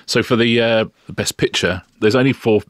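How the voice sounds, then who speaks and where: Man, podcast co-host, very low voice, relaxed and agreeable, dry studio sound. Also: brisk, dry recording. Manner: relaxed, agreeable, weighs opinions with hedges. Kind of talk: casual chat.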